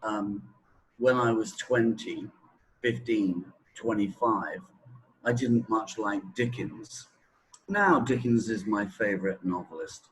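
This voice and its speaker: A man of sixty, a native English speaker, explaining his views in an interview in a halting, deliberate way, as if thinking aloud. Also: on the low side, animated, poor audio. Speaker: teacher trainer in reading, interview guest. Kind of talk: interview talk, explaining opinions.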